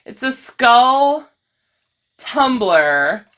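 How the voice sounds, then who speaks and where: Chatty crochet vlogger with a bright voice. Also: female voice, a little high, big pitch swings, very slow. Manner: chatty, laughs often, loses her train of thought. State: slightly tired, scratchy voice. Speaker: crochet video host. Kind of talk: casual crochet chat vlog.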